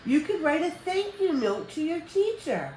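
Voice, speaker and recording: An older woman reading a children's picture book aloud as a storyteller, with expressive, deliberate phrasing for young listeners. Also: sits high. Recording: roomy echo with background noise.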